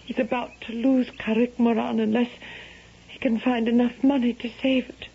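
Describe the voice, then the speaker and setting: A young daughter in love speaks in a very husky, slightly high voice. She is anguished and devoted, on a muffled old radio drama recording. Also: flat delivery, normal speed. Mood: anguished pleading. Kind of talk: 1970s American radio drama acting. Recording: dull vintage radio broadcast.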